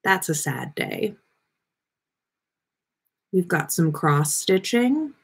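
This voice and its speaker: A woman's voice: lowish voice, big pitch swings, extremely slow, vocal fry, breathy edge. A woman hosting a casual livestream, chatting with viewers in a playful, rambling way as she thinks aloud. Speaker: livestream podcast host. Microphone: webcam livestream setup.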